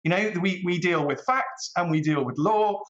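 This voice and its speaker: A British man speaks as an opinionated interview guest, articulate and emphatic, often repeating a phrase to drive it home. His voice sits a little high for a man. The sound is echoey and slightly dull, as if from a live room. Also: moderate pace, a bit bright. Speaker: barrister interviewed as a guest commentator. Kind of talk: opinionated current-affairs interview.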